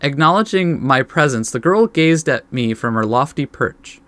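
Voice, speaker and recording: A man's voice: medium pitch, lively intonation, moderate pace, fairly bright tone, no echo. A man hosting a let's play, reading a visual novel aloud with informal commentary and stumbling over the text now and then.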